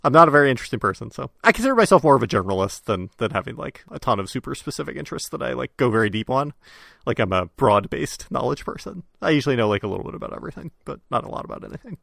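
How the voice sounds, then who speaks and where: A man co-hosting a casual podcast, talking fast and offhandedly with lots of fillers. His voice has a gravelly edge, and the recording is dry and close. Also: mid-range voice, big pitch swings, a bit bright, no room sound. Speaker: podcast co-host. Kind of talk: casual chat.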